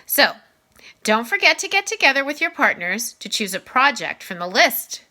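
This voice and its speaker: Woman reading as a brisk, bright-voiced schoolteacher. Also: on the high side, sing-song, voice pushed up. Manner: brisk, impatient. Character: brisk, impatient female schoolteacher.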